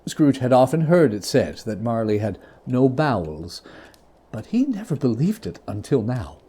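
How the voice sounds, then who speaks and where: A male storyteller with a mellow voice and a very lively melodic range. He reads classic ghostly fiction dramatically, leaning theatrically into his phrases. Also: mid-range voice, moderate pace, breathy edge. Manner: theatrical, expressive, emphatic phrasing. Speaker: reader.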